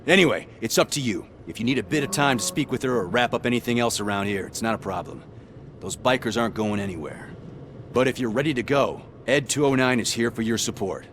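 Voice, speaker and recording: A man's voice: mid-range voice, fast, natural voice. Casting: male actor as police commander in a game, plain-spoken, businesslike, protective, faint street noise behind. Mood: supportive.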